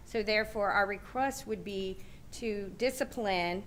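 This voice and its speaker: A woman's voice: medium pitch, measured pace, slight background noise. A woman with a Southern American accent, a city transportation official, methodically explaining policy at a public meeting. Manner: steady, methodical, repeats points for clarity. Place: large meeting room.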